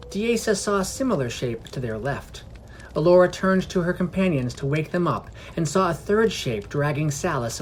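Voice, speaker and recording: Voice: medium pitch, a little hiss or hum. A narrator reading a scripted fantasy story for a podcast, in prose with acted dialogue.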